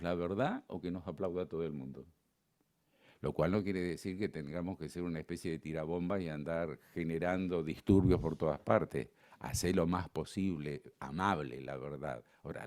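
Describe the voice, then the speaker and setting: A man's voice: sits low, moderate pace, darkish tone. An older man with an Argentine accent giving a conference lecture in a digressive, conversational way, with frequent fillers. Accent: Argentine Spanish, not Buenos Aires.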